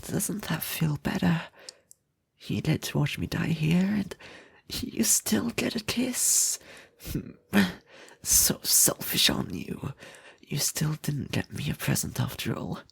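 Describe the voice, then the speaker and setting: Obsessive lovesick male kidnapper, played by a man for ASMR roleplay: very slow, gravelly, intimate, doting yet threatening. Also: on the high side, very animated, fairly bright tone, no echo, full character voice. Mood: dying, lovesick. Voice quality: husky, breathy, often whispering.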